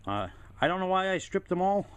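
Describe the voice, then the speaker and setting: A man's voice: high-pitched, big pitch swings, brisk, clean tone. A man casually narrating a hands-on hobby project in a plainspoken, explanatory way. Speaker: hobbyist presenter. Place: home workshop and outdoor driveway. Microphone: handheld camera, close to speaker.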